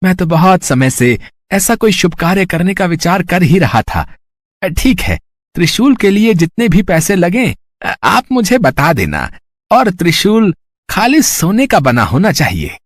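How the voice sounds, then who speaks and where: A greedy, scheming merchant from a moral-story cartoon, acted out by a man. The voice is fairly high and very animated, oily and showy in its politeness, recorded close and dry. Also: measured pace, no echo, exaggerated voice. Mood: ingratiating. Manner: oily, showy politeness with self-satisfied chuckles. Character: greedy, showy rich village merchant, fake-humble and scheming.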